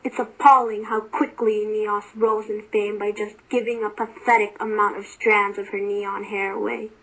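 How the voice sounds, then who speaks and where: A woman's voice: medium pitch, little pitch movement, moderate pace, fairly bright tone, rough recording. A woman voicing a haughty, envious male god in an amateur mythology cartoon, with indignant, formal delivery. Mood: bitter indignation.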